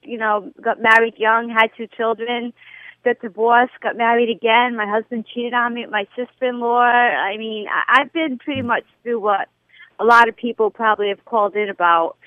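A woman's voice: fairly high pitch, steady tone, normal speed, no room sound. A woman in her fifties with a young-sounding voice, calling in to a radio show and chatting casually with frequent ums. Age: 50s. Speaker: caller. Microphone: telephone. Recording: tinny phone line.

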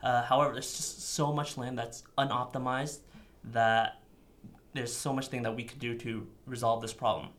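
A young man, a PhD student, calmly explaining a technical method in an interview. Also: medium pitch, normal speed. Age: young adult.

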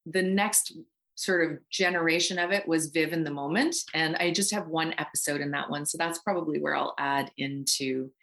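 A woman's voice: low voice, fairly even intonation, moderate pace, a bit bright. A middle-aged woman from Canada, talking casually and reflectively as an interview guest. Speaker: guest voice actor and writer.

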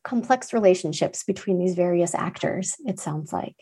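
A woman's voice: lowish voice, moderate pace, warm tone, slightly rough. A woman hosting an academic interview, asking long encouraging questions. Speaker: interview host.

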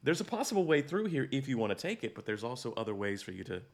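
A man's voice: mid-range voice, big pitch swings, fast talker. A man hosting a conversational interview, thoughtful and reflective, repeating and rephrasing as he goes. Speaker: podcast host, interviewer.

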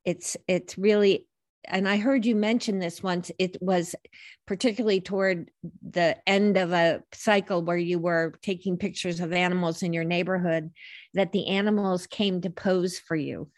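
A lower-voiced older woman talks as a podcast guest, wandering gently from story to story. She often restarts and corrects her sentences as she goes. The recording is dry and close, with no room sound. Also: fairly even intonation, moderate pace, no echo. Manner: reflective, meandering, self-correcting. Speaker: podcast guest, life coach. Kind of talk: casual conversational storytelling.

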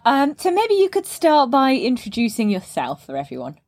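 A woman's voice: high voice, wildly swooping pitch, normal speed, a little mellow, dry recording. A woman hosting a podcast as an English teacher. She reads a scripted intro and then chats casually and enthusiastically with her guest, laughing often. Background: guitar music under the intro.

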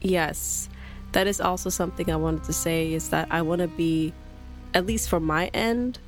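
A woman co-hosting a podcast, speaking English as a second language in halting, hesitant phrasing with lots of "like" and restarts. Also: fairly low pitch, soft background music. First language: not English. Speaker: podcast co-host. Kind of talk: casual podcast chat. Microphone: podcast microphone.

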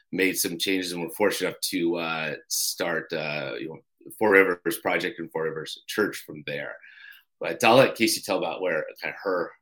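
Deep bright-toned middle-aged man. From Colorado. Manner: halting, many "uh"s and restarts. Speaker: pastor and church co-founder. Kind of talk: interview guest.

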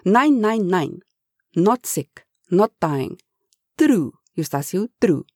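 A woman's voice: on the low side, sing-song, slow, a little mellow, no room sound, clean studio sound, exaggerated voice. A woman playing a firm German billionaire. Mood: reassuring. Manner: firm, clipped, broken English with German words. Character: commanding kindly German male billionaire.

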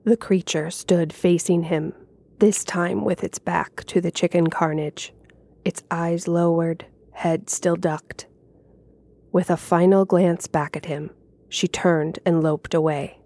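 A woman writer reading her own horror story aloud in a measured, suspenseful way. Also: on the low side, steady tone, dark tone. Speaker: writer and narrator. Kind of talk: scripted horror story narration. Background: music.

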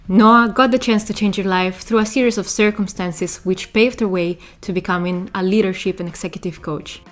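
A woman's voice: medium pitch, fairly even intonation, moderate pace. A woman hosting an interview podcast, reading a short introduction and then asking questions with fillers. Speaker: podcast host. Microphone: video call.

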